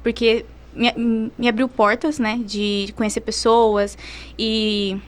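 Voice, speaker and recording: A woman's voice: on the high side, little pitch movement, slow. A young woman chatting casually on a podcast in a colloquial, anecdotal way, full of slang fillers. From Brazil. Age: young adult. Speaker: podcast guest, university student.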